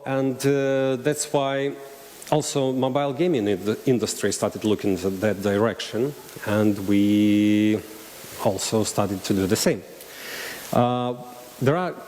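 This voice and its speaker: A man with a Russian accent gives a conference presentation from a stage mic, pausing often with fillers and restarting sentences. His voice sits fairly low. The hall adds a long reverb, with a faint hiss underneath. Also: darkish tone. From Belarus. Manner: halting, many fillers and restarts. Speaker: conference speaker. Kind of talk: conference talk.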